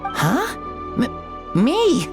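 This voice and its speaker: A woman voicing a shy, wide-eyed young child who speaks with halting, hopeful wonder in a video game. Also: sits high, huge pitch swings, over music, exaggerated voice. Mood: groggy, confused. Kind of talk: video game character dialogue.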